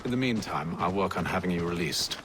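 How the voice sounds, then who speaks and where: An actor playing a composed, commanding leader of a colonial-era secret order in a video game, with a British accent and a measured, formal way of speaking. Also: male voice, deep voice, noisy background, natural voice. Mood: composed, authoritative. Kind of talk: scripted video game acting and narration.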